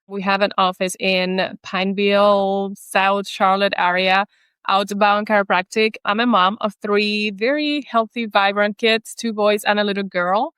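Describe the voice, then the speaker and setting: A woman's voice: medium pitch, steady tone, measured pace, a bit bright, clean tone, dry recording. A woman in her thirties from Puerto Rico, a native Spanish speaker with a Puerto Rican accent, telling her story earnestly as a guest chiropractor in a podcast interview.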